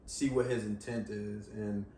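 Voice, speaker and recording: Young man, low and level, reflective casual talk, roomy distant pickup. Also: little pitch movement, unhurried, dark tone. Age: young adult. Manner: thoughtful, talks in long reflective strings. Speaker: guest in a discussion. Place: living room, on a couch. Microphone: far camera. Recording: roomy sound from far off, faint hiss.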